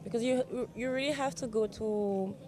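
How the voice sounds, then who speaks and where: A woman's voice: fairly high pitch, normal speed, warm tone. A woman answering casually as a tourist in an interview. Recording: music clearly audible behind.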